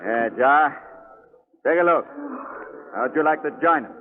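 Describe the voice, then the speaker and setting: A man plays a crooked sea captain from a 1940s radio thriller, all gloating menace and tough-guy slang. His voice sits a little high, heard through a thin, phone-like recording with light hiss. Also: measured pace, natural voice. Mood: gruff, commanding. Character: ruthless gloating smuggler sea captain, tough gangster talk. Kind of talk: 1940s radio drama acting.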